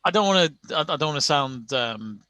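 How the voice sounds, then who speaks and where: A man chats loosely through a live football watch-along, tripping over restarts and breaking into laughs. His voice sits a touch high and sounds bright and forceful. The recording is dry and close. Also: lively intonation, brisk, no echo. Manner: chatty, many restarts and laughs. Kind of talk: casual live-stream chat.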